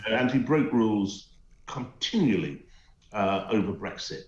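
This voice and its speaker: A man's voice: lowish voice, lively intonation, unhurried, roomy sound, highs cut off. A middle-aged man with a Teesside accent giving emphatic, scornful commentary on a talk show, hesitating with many uhs. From North East England. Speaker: guest commentator. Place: remote link from home.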